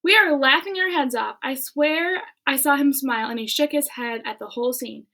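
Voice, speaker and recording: A woman reading a children's book aloud in an expressive way, with casual chat to her listeners. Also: high-pitched, moderate pace.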